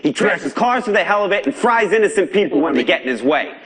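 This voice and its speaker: A man's voice: high voice, big pitch swings, fast, fairly bright tone. A man with an American accent voicing a fiery, angry and defiant underground broadcaster in a video game, speaking in an emphatic, streetwise, preachy way. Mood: scornful. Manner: emphatic, streetwise, preachy, swings between outrage and gravity. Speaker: pirate broadcaster. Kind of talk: video game voice acting, pirate broadcast monologue.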